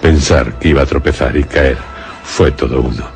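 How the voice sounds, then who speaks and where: A man with a Castilian Spanish accent narrating a suspense radio drama in a grave, measured storytelling manner. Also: a bass voice, gravelly, soft background music.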